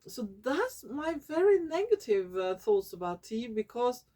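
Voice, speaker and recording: A Norwegian woman vlogging in English, her second language, often pausing to search for words and correcting herself. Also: a little high, very animated, dark tone. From Norway. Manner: slow and searching for English words, many fillers and self-corrections. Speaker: YouTuber. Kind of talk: casual talking-head vlog.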